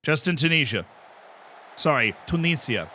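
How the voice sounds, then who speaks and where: An American man live-streaming a game, commenting excitedly, shouting at big moments and cracking jokes in between. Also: on the high side, normal speed, a little hiss or hum. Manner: excitable, shouts at goals, jokey asides.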